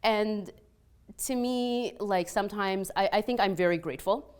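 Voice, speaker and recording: A woman's voice: mid-range voice, moderate pace, clear, resonant tone. A woman in her thirties from Egypt with a light Egyptian Arabic accent, telling personal stories on a panel in a chatty way, full of "like" and "you know". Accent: slight Egyptian Arabic, mostly Canadian-sounding. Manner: animated and chatty, many "like" and "you know" fillers, acts out quoted exchanges. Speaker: panelist, restaurant owner and peace scholar. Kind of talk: casual personal storytelling on a panel.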